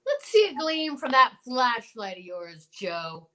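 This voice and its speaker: A woman's voice: a little high, sing-song, slow pace, bright, forceful tone, slight background noise, exaggerated voice. A woman playing a determined, blustering male marshal in an old-time radio serial, with an emphatic, folksy, commanding delivery.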